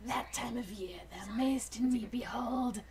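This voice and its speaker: A woman's voice: fairly high pitch, a little slow, a little mellow. A woman co-host in a casual group reading, moving between chat and verse recital.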